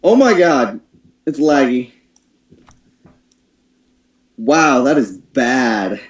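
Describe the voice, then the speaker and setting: Male casual co-host, lively swinging intonation, hesitant and questioning, roomy echo on an internet call. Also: mid-range voice, extremely slow. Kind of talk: casual livestream chat. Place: online voice call.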